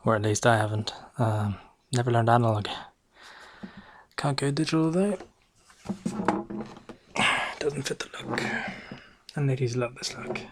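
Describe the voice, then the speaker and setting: A man with a Northern English accent playing a boastful, bumbling noir private eye, narrating deadpan with hesitant asides in an ASMR roleplay. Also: mid-range voice, unhurried, long pauses, background noise, natural voice. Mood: wry, self-satisfied.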